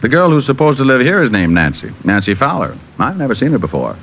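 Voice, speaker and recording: A man's voice: medium pitch, sing-song, quick pace, slight background noise. A man playing a wry, easygoing private detective, wisecracking and narrating in 1950s radio drama style. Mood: wry, casual. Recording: dull old radio recording, music behind.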